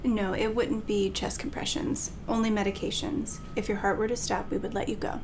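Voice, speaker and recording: A woman's voice: mid-range voice, little pitch movement, moderate pace, lightly acted. Woman playing female anesthesiologist, tactful, firm, explanatory, training dramatization, noticeable room noise. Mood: matter-of-fact. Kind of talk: role-played training dialogue.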